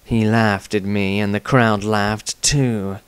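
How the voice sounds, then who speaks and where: A man voicing a cold, misanthropic fighter with a deliberate, contemptuous manner in a fantasy diary reading. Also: on the low side, steady tone, clean tone, dry recording. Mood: cold menace. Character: cold, misanthropic male fighter, menacing and scornful.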